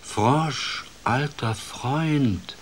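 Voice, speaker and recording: Ein Mann, der in einer Kindergeschichte eine weise, gütige Eulendame spricht, besänftigend und bestimmt. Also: male voice, mid-range voice, noisy background, voice pushed up. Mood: soothing. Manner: soothing, measured, authoritative. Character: wise, calm female owl, kindly authority.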